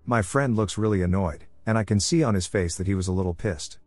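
A man narrating first-person scary stories with dry, wry asides, soft music behind him. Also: deep voice, brisk, dry recording.

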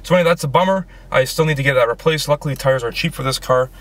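A man's voice: medium pitch, very fast, a bit bright. A young man from Canada talking casually in a car vlog, loose and rambling with lots of ums and restarts. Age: young adult. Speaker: vlogger. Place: inside a small car, later outdoors in a snowy lakeside parking lot.

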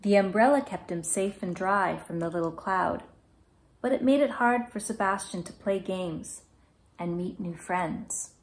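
A woman in her thirties reading a children's picture book aloud in a gentle, measured, clearly phrased way. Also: mid-range voice. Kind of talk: children's storybook read-aloud. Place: small indoor room at home.

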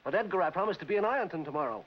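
An agreeable young flier in an old film comedy. His voice is very high and he rattles along quickly. The sound is muffled. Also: lively intonation. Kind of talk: 1940s film comedy acting.

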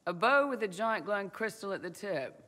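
A man's voice: high voice, expressive, moderate pace, sharp tone, long reverb tail. An actor playing a young male mercenary lieutenant in a fantasy game, dry, cheeky and sarcastic. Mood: cheeky teasing.

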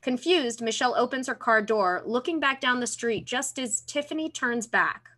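A woman's voice: on the high side, moderate pace, bright, forceful tone. A woman giving scripted narration of stage directions over a video call from a room at home. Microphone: computer on video call.

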